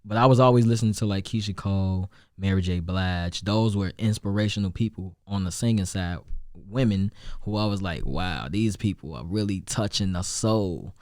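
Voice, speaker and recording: Deep-voiced young Chicago man, rambling. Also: moderate pace, a little mellow, no room sound, clean studio sound. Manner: loose, rambling, with many restarts and fillers. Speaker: rapper and singer, guest. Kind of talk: casual interview chat.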